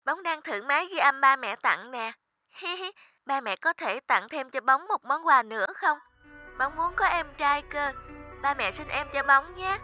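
A woman's voice: sits high, animated, a little slow, pushed and bright, faint music behind, full character voice. A woman voicing a small, earnest angel girl in a cartoon, childlike and polite, speaking lines. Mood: sweet, pleading. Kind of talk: animated fairy-tale dubbing.

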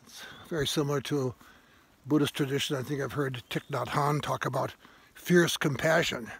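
A man in his seventies giving a thoughtful, halting informal sermon to camera as he walks outdoors, repeating phrases for emphasis. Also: mid-range voice, steady tone, vocal fry, fairly bright tone, breathy edge. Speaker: vlogger, retired parish priest. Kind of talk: reflective informal sermon to camera while walking. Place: outdoors on a grassy woodland trail. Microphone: handheld phone at arm's length.

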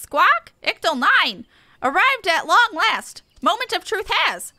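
A woman voicing an excitable bird-man crafter from a game, with squawky exclamations and backwards word order. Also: very high-pitched, slow pace, dry recording, pitched above the performer's own voice, full character voice. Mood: excited. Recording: faint music behind.

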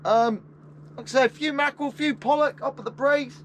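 A man's voice: extremely high pitch, a little fast. A man, a fishing vlogger, chatting casually and matily to his viewers in slangy everyday speech, recorded outdoors on a small boat. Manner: chatty, matey, slangy, often addressing viewers as guys. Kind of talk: casual vlog commentary to viewers. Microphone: built-in camera or phone mic, few feet away. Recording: outdoor action camera and phone, noisy. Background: faint boat and outdoor noise.

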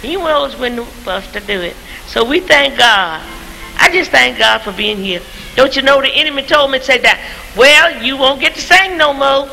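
A woman's voice: high-pitched, expressive, moderate pace, gravelly, bright, forceful tone, faint music behind, low-quality recording. A woman in her seventies, a church mother, giving a fervent testimony full of praise in a church, on an old tape recording. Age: 70s. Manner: fervent, exclaims praise often. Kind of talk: church testimony and gospel singing. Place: church sanctuary.

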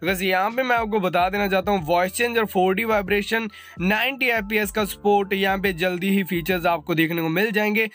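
A man's voice: high-pitched, steady tone, fairly quick, fairly bright tone. A man hosting a tech update voice-over, addressing viewers informally and mixing in English terms. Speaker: tech channel host. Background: background music.

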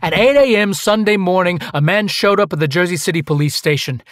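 An actor playing a hard, menacing investigator in a radio play, pressing and accusatory with theatrical delivery. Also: male voice, high voice, a little fast, a bit bright, slight background noise. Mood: grim recounting. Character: hard, menacing investigator grilling an immigrant wife.

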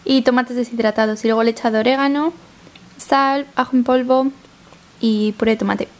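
A woman's voice: on the high side, steady tone, brisk. A woman in her twenties with an accent from Spain, chatting casually to camera in a rambling, chatty way. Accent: Spanish from Spain. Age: 20s. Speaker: vlogger. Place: small flat living room, indoors.

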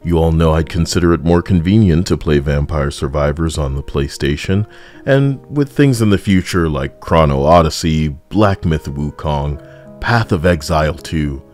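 Man, low-pitched voice, sardonic gaming commentary, rambling casual delivery, background music. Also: normal speed, a little mellow. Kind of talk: casual scripted video game commentary. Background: music behind the voice.

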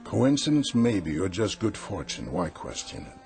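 Mysterious old peddler, low raspy voice, quiet and cryptic. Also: male voice, big pitch swings, normal speed, heavily acted. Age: older. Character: mysterious old male peddler, calm and knowing. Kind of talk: radio drama acting. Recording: radio play with music underneath.

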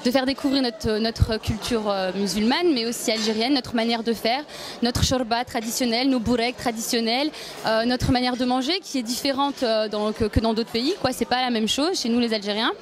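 A young woman with a high voice speaks into a handheld mic at an indoor gathering. She talks in halting bursts, stopping often to restart her sentences. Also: steady tone. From Algeria, M'sila province. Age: young adult. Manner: hesitant, many fillers and restarts. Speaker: youth association member. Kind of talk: on-camera interview at an event. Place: indoor event hall.

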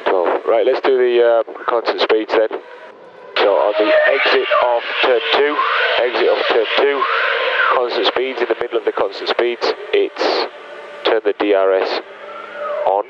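A man's voice: medium pitch, unhurried. A man, a race engineer, repeating instructions over team radio. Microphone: radio headset. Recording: muffled, very noisy team radio.

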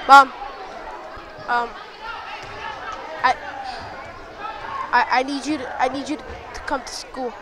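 A teenage girl making a halting, pleading, repetitive staged phone call in a school gym. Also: high voice. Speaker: prankster. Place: large school gymnasium. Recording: noisy echoing school gym, crowd chatter.

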